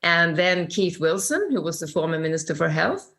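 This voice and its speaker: Older Swedish-accented woman, low voice, warm reflective storyteller; interview guest. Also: big pitch swings, normal speed. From Sweden.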